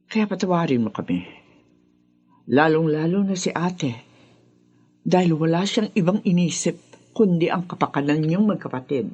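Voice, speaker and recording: Soft, husky, apologetic aunt. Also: female voice, lowish voice. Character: apologetic, soothing aunt explaining family secret. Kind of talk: Filipino radio drama acting. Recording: dull, muffled audio.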